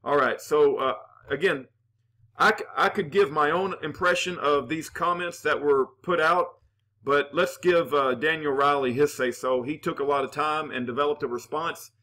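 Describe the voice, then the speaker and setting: A man hosting his channel, giving opinionated commentary with Bible references in an earnest, halting way full of "uh" fillers and restarts. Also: on the high side, bright, forceful tone. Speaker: channel host reading and answering viewer comments.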